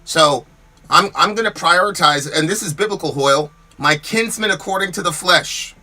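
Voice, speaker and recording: Man, American, podcast host, heated chat commentary, tense bright tone, slightly high voice, rhetorical questions, repeats words. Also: moderate pace. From United States. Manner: emphatic, argumentative, fires rhetorical questions; repeats words and restarts when worked up.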